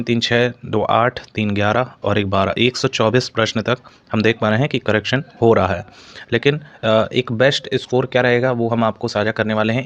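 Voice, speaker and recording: A man explaining exam information to students as a channel host, in an explanatory way with repeated stock phrases. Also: lowish voice.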